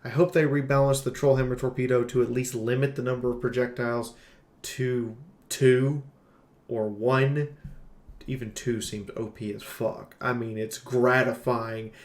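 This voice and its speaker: A man's voice: mid-range voice, little pitch movement, unhurried. A man giving an unscripted game review in an informal, emphatic way, as a channel host talking to viewers. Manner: informal, emphatic, occasional swearing. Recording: roomy, echoing room sound.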